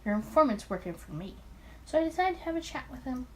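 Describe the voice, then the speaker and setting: A woman's voice: high-pitched, big pitch swings, fast talker, a little mellow. Woman reading as a cocky charming mafia boss. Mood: smug, explaining. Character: smooth-talking, arrogant male 1920s mafia boss.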